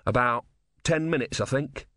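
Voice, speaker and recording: A man's voice: mid-range voice, normal speed, a bit bright, no echo, clean studio sound, close to an everyday voice. A man voicing an earnest young male novice priest in an audiobook story, hesitant and plain-spoken. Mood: matter-of-fact.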